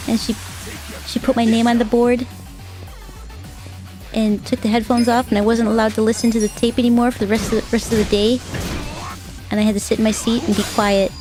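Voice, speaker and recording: Soft-spoken woman streamer, mellow voice. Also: a little high, level tone, soft background music, rough recording. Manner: soft-spoken, halting, repeats words. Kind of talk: casual gaming stream chat.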